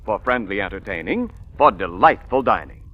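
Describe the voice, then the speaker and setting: A man's voice: fairly low pitch, very animated, moderate pace. A man announcing a sponsor on 1940s radio with a polished, emphatic sales delivery. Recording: dull vintage 1940s radio recording.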